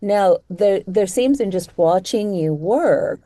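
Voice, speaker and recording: A woman's voice: mid-range voice, animated, takes it slowly, warm tone, dry recording. A woman hosting a conversational interview, thoughtfully building her questions in short, repeated phrases. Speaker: interview host.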